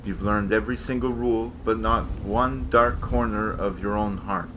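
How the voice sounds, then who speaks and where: A man in a dramatic reading playing a hard, taunting polar explorer with grim philosophy, in a theatrical, cutting and deliberate delivery. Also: lowish voice, steady tone, background noise, lightly acted. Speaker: stage actor. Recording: loud music behind the voice. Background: music.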